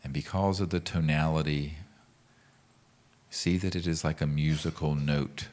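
A man leading a guided meditation as a teacher, speaking in a calm, gentle, measured way with pauses. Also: rumbling low. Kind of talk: guided meditation with mantra instruction.

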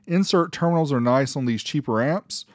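A man's voice: a little high, animated, moderate pace, no echo, studio quality. A man giving a casual, informal product review voice-over as the host, with a folksy way of talking. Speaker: host reviewing car audio amplifiers.

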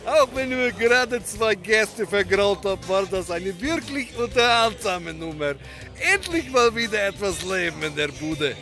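Hearty male barkeeper, fairly high, very animated, jovial adventurer, audio comedy, music behind. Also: measured pace, exaggerated voice. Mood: amused. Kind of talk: occult comedy audio drama acting.

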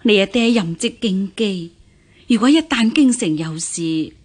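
A woman acting a worried, gentle middle-aged mother of an official household in a Cantonese radio drama, sighing and solicitous. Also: medium pitch, lively intonation, unhurried. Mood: anxious.

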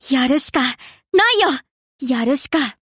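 A young anime warship girl with a high, very lively voice. She talks in casual, girlish slang at an unhurried pace. She comes across as easygoing yet dutiful, like a game heroine. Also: dry recording, clean studio sound, heavily acted. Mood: determined. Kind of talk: Japanese anime game character voice lines.

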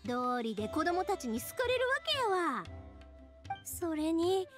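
A woman voicing a bubbly, warm-hearted, chatty young woman with a Kansai accent in an anime game. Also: very high voice, measured pace, full character voice. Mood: delighted. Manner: excitable, chatty, friendly. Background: game music.

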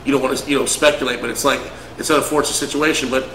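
A talkative male co-host with a bright, forceful voice who jokes his way through a casual chat. He drops "brother" and "man" into his sentences, and the room around him adds a roomy echo. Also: mid-range voice, fairly even intonation, racing, a little hiss or hum. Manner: chatty, joking, says brother and man a lot. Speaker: podcast co-host.

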